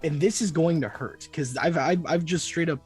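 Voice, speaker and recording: A man's voice: on the high side, moderate pace, husky, soft background music. A man co-hosting a livestream in informal banter with a dramatic, complaining manner. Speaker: livestream co-host.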